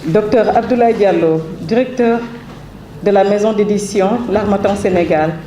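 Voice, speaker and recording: A woman's voice: mid-range voice, dark tone. Woman, stuffy from a cold, formal thank-yous with lots of ums, echoey hall, noisy. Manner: measured, formal, with hesitant fillers. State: slightly congested from a cold. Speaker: author presenting her book. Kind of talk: formal thank-you speech. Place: event hall. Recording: roomy hall with background noise.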